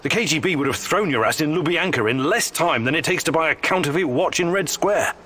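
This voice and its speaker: An actor playing an earnest, determined male scientist in a video game, speaking in a serious, measured, explanatory manner. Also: a little high, lively intonation, sharp tone, slight background noise. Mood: firm, weary. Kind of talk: video game character acting.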